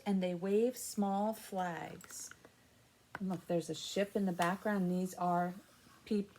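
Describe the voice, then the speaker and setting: An American woman hosting a library story time for children, reading and explaining things simply and patiently in short phrases. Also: medium pitch.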